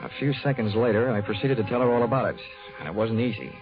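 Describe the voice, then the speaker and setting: An actor playing a wry, hardboiled, flirtatious insurance investigator in 1940s radio noir style. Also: male voice, lowish voice, quick pace, lightly acted. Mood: wry narration. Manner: wry, wisecracking. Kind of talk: 1940s radio acting, first-person noir narration. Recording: dull 1940s radio broadcast with music.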